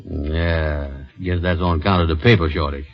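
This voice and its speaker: An actor playing a good-natured, slow-witted family friend in 1940s radio style, with a folksy, ungrammatical, puzzled way of talking. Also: male voice, very deep voice, steady tone. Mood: curious. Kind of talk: 1940s radio comedy-drama acting. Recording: dull old 1940s radio broadcast.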